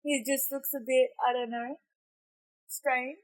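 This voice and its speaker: A woman's voice: high voice, little pitch movement, slow, rough recording. A young woman with an Australian accent, congested from a cold, chatting in a rambling, self-correcting way as she reacts to a film trailer. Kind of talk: casual reaction commentary.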